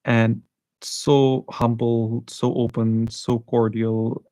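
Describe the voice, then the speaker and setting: A man's voice: medium pitch, little pitch movement, slow, dark tone, no room sound. A young man from South Asia hosting a live stream, telling travel stories in a rambling, casual way with many restarts. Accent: neutral international English, worked on. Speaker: channel host.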